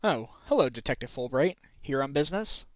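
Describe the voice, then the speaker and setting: A man voicing a level-headed, wry defense lawyer with a dry, measured delivery in a game fan dub. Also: medium pitch, lively intonation, natural voice. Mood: curious.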